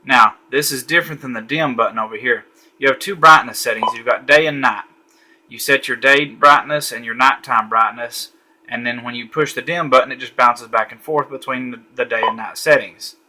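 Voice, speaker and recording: A man's voice: medium pitch, normal speed, noisy background. A man giving a casual, easygoing walkthrough of a radio at a home desk late at night, thinking aloud with frequent fillers. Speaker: radio reviewer.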